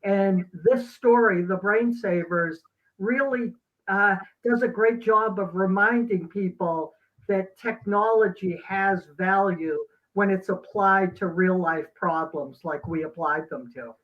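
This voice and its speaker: Slow, halting man, dull lo-fi sound. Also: high voice. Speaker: doctor and company co-founder, guest. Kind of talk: interview explanation.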